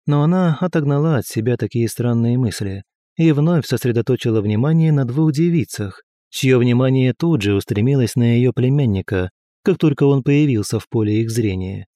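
Man narrating an audiobook, measured, dark mellow voice, dead dry sound. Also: mid-range voice, lively intonation, no room sound. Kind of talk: scripted historical fiction narration.

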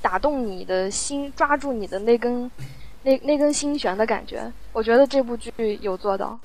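A woman interviewed in a casual chat, talking in a halting, reflective way as she explains her work. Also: fairly high pitch, a little mellow, rough recording. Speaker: audio drama sound producer, interview guest.

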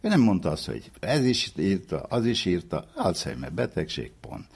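A man with a husky voice, explaining as an expert in an informal, emphatic storytelling way. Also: low-pitched, very animated, darkish tone. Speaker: expert interviewee. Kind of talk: informal explanatory talk on medical history.

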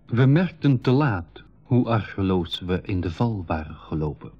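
An actor narrating a sea adventure as one who lived it, in a 1980s school radio play. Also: male voice, low-pitched, big pitch swings, moderate pace, husky, exaggerated voice. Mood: solemn recounting. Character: solemn male narrator recalling his sea adventure. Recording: dull lo-fi 1980s radio recording.

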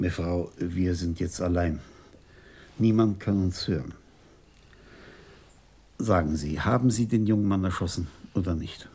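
An actor playing an older police commissioner in a German radio crime drama, measured, formal and probing. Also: male voice, deep voice, dark tone, husky. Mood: grave, measured. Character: composed, courteous but probing senior police commissioner.

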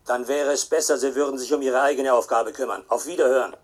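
A man's voice: medium pitch, steady tone, fast, close to an everyday voice. An actor playing a stern, defensive supervisor in a radio play, curt and dismissive in his replies.

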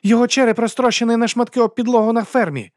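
A man voicing a vengeful, defiant mercenary woman in an audiobook, shouting in a strained, bitter way. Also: high voice, expressive, quick pace, no echo, clean studio sound, pitched above the performer's own voice. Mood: defiant fury. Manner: shouting, strained, near screeching.

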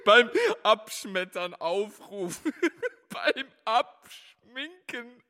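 An actor in an experimental radio play voicing a manic man who chants absurd word pairs in a rough, exaggerated voice. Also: high voice, animated, very slow, pushed and bright, full character voice. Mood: manic glee.

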